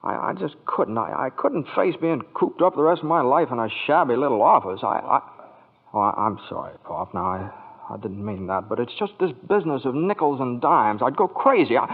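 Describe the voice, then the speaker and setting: A man's voice: mid-range voice, lively intonation, fairly quick. An actor playing an eager, restless young American man, stammering earnestly in 1940s radio style. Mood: earnest, torn. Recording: muffled, reverberant old radio broadcast.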